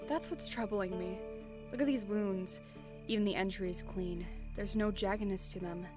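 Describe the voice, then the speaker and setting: A woman's voice: mid-range voice, normal speed, close to an everyday voice. A woman playing a stern, analytical investigator in a fantasy audio drama, clipped and sardonic. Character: stern, accusing female investigator in a fantasy world. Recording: music playing behind. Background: background music.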